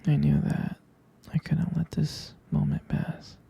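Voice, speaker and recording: A man speaking in a breathy, husky whisper, tender, soothing and halting, as a boyfriend in a bedtime ASMR roleplay, close to the microphone. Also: a little high, little pitch movement, dark tone. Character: tender, protective boyfriend lulling partner to sleep.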